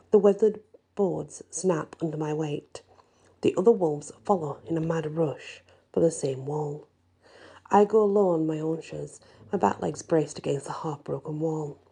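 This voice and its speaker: A woman narrating a first-person fantasy story in an expressive, deliberate storytelling style. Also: low-pitched, husky. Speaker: story reader.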